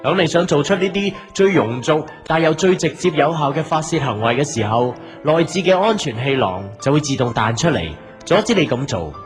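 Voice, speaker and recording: A man's voice: fairly high pitch, lively intonation, fairly quick, hardly any pauses, lightly acted. A man acting a patient, restrained big brother in an audio drama, speaking in a subdued, reflective way. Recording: soft guitar music behind.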